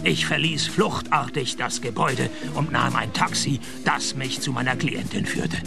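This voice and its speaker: An actor playing a wry, laconic private detective who narrates his case deadpan in a radio crime comedy. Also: mid-range voice, moderate pace, gravelly, music in the background. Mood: dry, deadpan.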